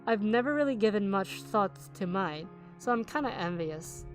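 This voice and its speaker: A woman's voice: medium pitch, lively intonation, normal speed, soft background music, close to an everyday voice. Woman voicing a reserved teen schoolboy, thoughtful inner narration, plain delivery. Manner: plain, conversational reading. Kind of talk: visual novel narration read aloud.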